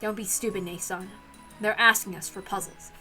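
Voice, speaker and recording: A woman's voice: mid-range voice, steady tone, moderate pace, pushed and bright, faint music behind. A woman voicing a cool, confident, teasing puzzle challenger with a dry, self-assured manner, in a visual novel reading.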